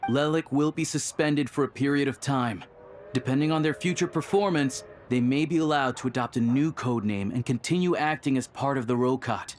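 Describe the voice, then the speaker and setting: A man playing a formal, solemn spokesman of a secret order, delivering a measured proclamation in a video game. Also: medium pitch, slight background noise, close to an everyday voice.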